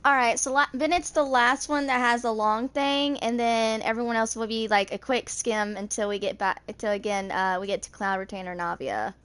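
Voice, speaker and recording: Female video creator, twenties, casual, slightly high voice, reading and chatting. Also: normal speed, hardly any pauses, a bit bright, clear, resonant tone. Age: 20s. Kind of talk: casual chat and reading game lore aloud.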